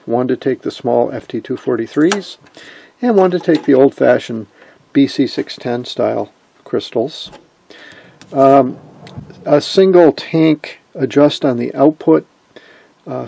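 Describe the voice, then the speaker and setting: A man with a mellow, creaky voice walks through hobby electronics off the cuff. His delivery is matter-of-fact and halting, with a husky edge, like a hobbyist presenting his own build. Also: mid-range voice, no room sound. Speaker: amateur radio hobbyist presenting homemade gear. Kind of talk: informal unscripted technical show-and-tell.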